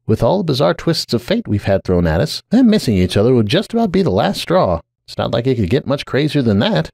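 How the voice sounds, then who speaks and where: An anxious, weary unicorn wizard from a storybook drama, acted out by a man. He speaks earnestly and hesitantly, with a very lively, swooping range in a dry, close recording. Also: mid-range voice, a little fast, creaky, no echo, voice pushed up. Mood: wry.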